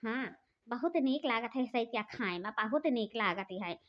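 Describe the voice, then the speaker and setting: A woman's voice: fairly high pitch, expressive, moderate pace, close to an everyday voice. A woman voicing a bossy, quarrelsome elder sister-in-law, with an Awadhi accent, scolding and taunting. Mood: chatty. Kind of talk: cartoon voice acting. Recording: dull lo-fi recording.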